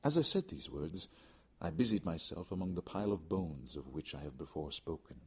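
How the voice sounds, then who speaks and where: Deep, husky male storyteller. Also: lively intonation, a little mellow. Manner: theatrical, deliberate, intense. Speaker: audiobook reader. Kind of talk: dramatic scripted narration of gothic fiction.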